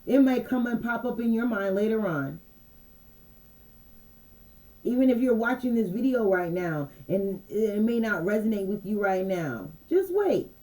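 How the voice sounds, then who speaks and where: A woman with a mellow, relaxed voice talks loosely to her viewers as an intuitive card reader. She repeats phrases and keeps checking that they follow her. Her delivery stays unhurried and conversational. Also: medium pitch, rough recording. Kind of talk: casual channeled message livestream.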